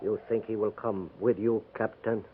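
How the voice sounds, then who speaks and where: An actor playing a solemn messenger in a 1950s radio western, speaking plainly and with measured delivery. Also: male voice, lowish voice, level tone, narrow, tinny audio, close to an everyday voice. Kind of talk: 1950s radio drama acting. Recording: thin, faintly noisy 1950s radio recording.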